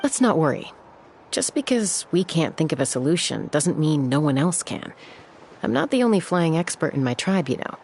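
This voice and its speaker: A woman playing a composed, confident young flying expert in a video game, speaking in a measured way. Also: low voice, very animated, darkish tone, slight background noise. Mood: calm, confident. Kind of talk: video game character dialogue.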